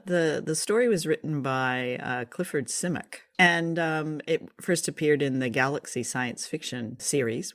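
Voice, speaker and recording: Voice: mid-range voice, a bit bright. A co-host with a North American accent, chatting in an easygoing, enthusiastic way about a show under review. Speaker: podcast co-host. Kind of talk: casual review chat.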